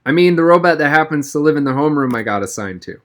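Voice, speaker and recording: A man's voice: a little high, animated, fast talker, fairly bright tone, voice pushed up. A man reading a storybook as an uneasy, sheepish schoolboy hero who sounds defensive.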